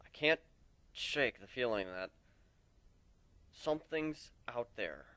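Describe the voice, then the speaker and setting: A man voicing a brooding, weary male creature in a story reading, speaking in a hushed, halting way. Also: mid-range voice, lively intonation, no echo. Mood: brooding, haunted.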